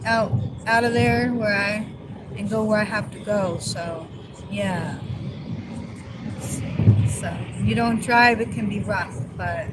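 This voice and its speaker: A woman's voice: medium pitch, steady tone, takes it slowly, over loud music, rough recording. A woman with a New Jersey accent chatting casually to her viewers from a moving car, easygoing and grateful, rambling with lots of fillers. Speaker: vlogger. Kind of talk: casual livestream chat. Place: in a car on the road. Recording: loud road noise from a car. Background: vehicle noise.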